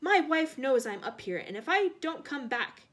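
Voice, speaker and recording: A woman voicing a stubborn old man in a storybook, blunt, loud and indignant. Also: high voice, very animated, normal speed, pitched above the performer's own voice. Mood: defiant. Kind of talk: storybook read-aloud.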